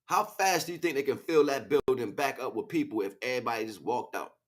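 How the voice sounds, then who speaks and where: A man's voice: mid-range voice, expressive, fast talker. A man hosting a casual livestream, talking in a slangy, rambling, preachy way as he chats back to viewers. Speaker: livestream host. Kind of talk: casual impassioned livestream monologue.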